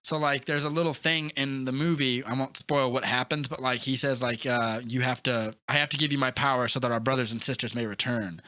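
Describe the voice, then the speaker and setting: A man chatting casually and enthusiastically about films as a podcast co-host, rambling excitedly with lots of "like". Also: medium pitch, a little fast, few pauses, no room sound. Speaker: movie review podcast co-host. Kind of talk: casual enthusiastic film chat.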